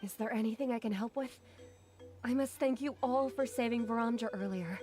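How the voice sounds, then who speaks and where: A woman playing a gentle, polite, anxious young traveler in an anime game, speaking earnestly. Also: fairly high pitch, brisk, music in the background, lightly acted. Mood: grateful, apologetic.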